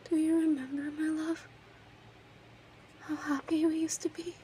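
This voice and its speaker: Woman acting a high-voiced obsessive yandere kidnapper. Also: level tone, unhurried, dark tone, slight background noise. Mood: tender, wistful. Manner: clingy, emotional, swings between breathy whispers and outbursts. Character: obsessive, unstable yandere kidnapper in her thirties, lovesick. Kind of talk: audio roleplay, intimate dramatic monologue.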